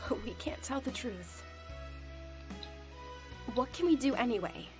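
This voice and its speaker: An actress voicing a firm, serious captain of an investigation agency in a visual novel, with an assertive manner. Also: female voice, a little high, expressive, measured pace. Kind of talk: English visual novel voice acting. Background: music.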